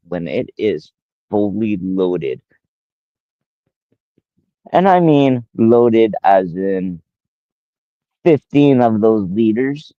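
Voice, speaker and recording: Guy in his 40s rambling on a livestream, slow and kinda low, dull dry lo-fi audio. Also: dry recording. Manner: rambling, addresses a viewer by name, repeats himself. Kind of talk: casual livestream talk.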